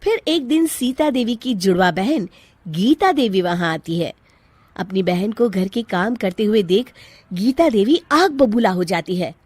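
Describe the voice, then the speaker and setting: A woman's voice: medium pitch, expressive, unhurried, darkish tone, husky, dry recording. A woman reading a scripted moral tale as the storyteller. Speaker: story narrator.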